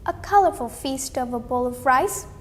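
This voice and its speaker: A young woman reading a storybook aloud expressively, with deliberate, dramatic phrasing. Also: high voice, expressive, in a large hall, a little hiss or hum. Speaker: read-aloud competition contestant.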